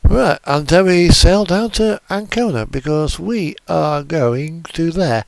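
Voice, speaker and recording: A man's voice: on the high side, expressive, takes it slowly, gravelly. A man chatting casually as he streams a driving game, rambling and trailing off mid-thought. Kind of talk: casual chat while playing a truck driving game.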